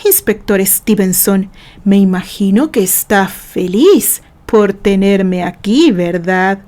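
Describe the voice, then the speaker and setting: A woman's voice: medium pitch, lively intonation, slow pace, mellow. A woman reading the part of a defiant, bitter man locked in a jail cell. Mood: angry. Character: angry jailed red-haired man, bitter.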